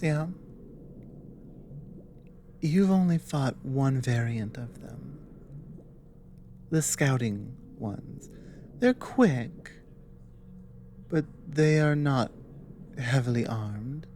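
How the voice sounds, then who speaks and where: A woman playing an earnest, apologetic female dragon in an ASMR audio roleplay, explaining things hesitantly in a soft voice. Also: sits low, a little hiss or hum, full character voice. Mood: reassuring, earnest. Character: earnest, apologetic female dragon warning a human ally of war.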